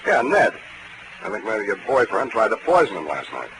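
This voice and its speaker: An actor playing a wisecracking, hardboiled private detective in a 1940s radio drama, wry, clipped and slangy. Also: male voice, on the low side, wildly swooping pitch, moderate pace. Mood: earnest. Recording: noisy vintage 1940s radio recording.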